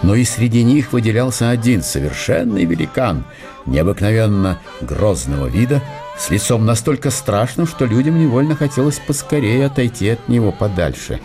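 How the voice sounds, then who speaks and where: A man narrating a literary radio drama with deliberate, dramatic phrasing. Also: on the low side, very animated. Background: music.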